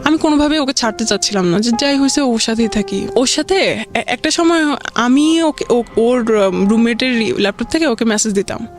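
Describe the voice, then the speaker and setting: Young woman telling her story over music. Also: a little high. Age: young adult. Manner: hesitant, halting. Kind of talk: casual personal storytelling.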